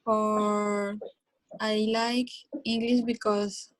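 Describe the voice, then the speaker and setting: A woman's voice: medium pitch, level tone, dry recording, low-quality recording. A teenage girl from Colombia with a Spanish accent, answering hesitantly in a speaking exam with many fillers. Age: teens. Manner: hesitant, halting learner English with fillers. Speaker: exam candidate.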